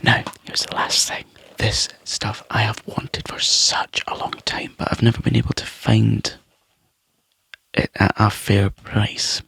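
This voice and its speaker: A Scottish man chatting to viewers in a soft, rambling ASMR whisper, close to the mic. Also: on the low side, monotone, normal speed, vocal fry, hardly any pauses, breathy edge. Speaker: ASMR YouTuber.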